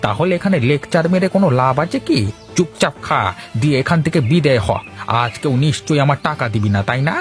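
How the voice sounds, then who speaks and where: A man voicing an older village tea-stall owner in a cartoon, gruff, curt and mocking. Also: a little high, quick pace, faint music behind, lightly acted. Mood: irritated. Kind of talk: Bengali cartoon dialogue dubbing.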